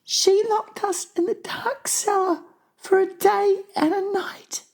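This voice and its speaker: Casting: earnest little orphan girl for storybook drama, extremely high voice, slow, polite, plaintive. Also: fairly even intonation, vocal fry, full character voice. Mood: distressed. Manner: polite, plain-spoken, deliberate phrasing. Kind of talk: dramatized children's audiobook.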